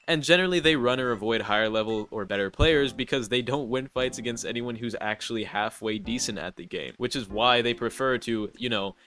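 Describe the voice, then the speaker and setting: A man's voice: mid-range voice, fairly bright tone. A man with a congested, stuffy voice doing a scripted, sarcastic voice-over roast as a gaming YouTuber. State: congested, nasal from allergies.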